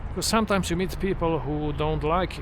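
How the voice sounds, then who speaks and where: Middle-aged man, English learned as an adult; slow, thoughtful vlog delivery, slightly raised pitch, recorded outside with clear street traffic. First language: not English. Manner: unhurried, reflective, with many restarts and fillers. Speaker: vlogger. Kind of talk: casual walking vlog monologue. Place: outdoors near trees and traffic. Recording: outdoor traffic noise. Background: street traffic noise.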